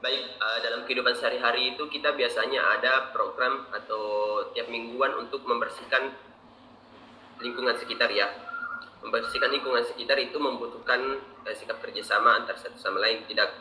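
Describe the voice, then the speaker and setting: A young Indonesian man from Makassar with an Indonesian accent, answering interview questions in a measured, methodical way with frequent fillers. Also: medium pitch, fairly even intonation, sharp tone, a little hiss or hum. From Makassar, South Sulawesi, Indonesia. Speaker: interviewee, engineer. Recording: roomy, distant mic, faint ambience.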